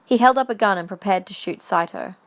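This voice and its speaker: A woman's voice: medium pitch, a little fast. A woman reading scripted story narration for an audio drama.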